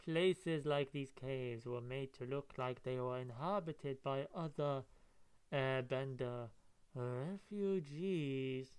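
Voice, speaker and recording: Cold comic-book admiral villain, acted by a male reader: measured, gloating, menacing lectures in a clear voice. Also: medium pitch, close to an everyday voice, poor audio.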